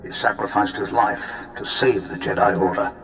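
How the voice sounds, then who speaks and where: A man voicing a patient, wise Jedi master spirit in a video game, measured, formal and reassuring. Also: lowish voice, fairly bright tone, echoey room, soft background music, natural voice. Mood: grave storytelling.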